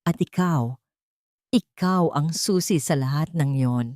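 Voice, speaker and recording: A woman's voice: sits low, slow pace, darkish tone, no echo, studio quality. A woman voicing a sickly, bitter and determined man in a romantic story drama.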